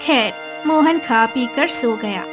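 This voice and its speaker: A woman's voice: a little high, slow pace, music in the background. A woman reading scripted narration for a cartoon story, as a storyteller.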